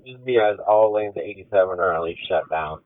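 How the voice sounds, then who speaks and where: A man's voice: low-pitched, fairly quick. Man on a tinny emergency radio. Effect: two-way radio. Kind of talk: terse emergency radio traffic. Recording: tinny narrowband two-way radio traffic.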